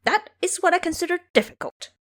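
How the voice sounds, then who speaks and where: A woman's voice: extremely high pitch, lively intonation, brisk, a bit bright, pitched above the performer's own voice. A woman voicing a brash, playful male monkey-monk trickster in an audio drama, boastful and teasing. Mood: cheeky.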